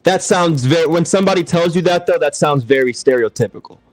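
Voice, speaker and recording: A man's voice: fairly high pitch, a little fast, no room sound, soft background music. A man co-hosting a podcast, asking long rambling questions and mixing in Spanish words. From Puerto Rican heritage. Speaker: podcast co-host. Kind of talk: casual interview questions.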